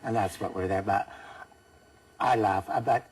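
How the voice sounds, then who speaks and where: A woman who works at an English language school, talking on a TV studio chat with halting restarts and emphatic turns. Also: extremely low pitch, a little mellow, slightly rough, recorded from a distance.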